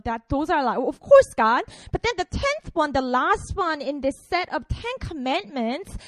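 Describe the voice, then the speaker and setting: Chatty young woman pastor, high voice. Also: no echo. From Korea. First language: Korean. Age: 20s. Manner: chatty and playful, frequent fillers. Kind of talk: casual sermon to students.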